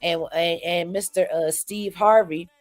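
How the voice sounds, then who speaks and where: A woman's voice: lowish voice, steady tone, measured pace. Stuffy-nosed woman hosting a chatty show. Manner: chatty, keeps checking in with listeners. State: stuffy nose from a cold. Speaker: morning show host. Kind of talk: casual news recap chat.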